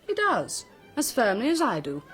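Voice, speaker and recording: High, animated, witty young heiress. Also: female voice, moderate pace, faint music behind. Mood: arch, frank. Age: 20s. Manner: arch, articulate, theatrical. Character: frank, witty young heiress of twenty-three, sharp-tongued. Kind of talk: radio period drama acting.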